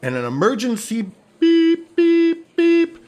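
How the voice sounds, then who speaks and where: A man's voice: very high-pitched, wildly swooping pitch, slow pace, warm tone. A man reading scripted narration for a radio play.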